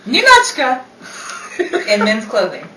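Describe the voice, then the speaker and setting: A woman's voice: a little high, huge pitch swings, takes it slowly. A woman casually leading a radio play rehearsal, prompting and correcting the others. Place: small indoor room dressed as radio studio. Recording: roomy, distant mic, loud background noise.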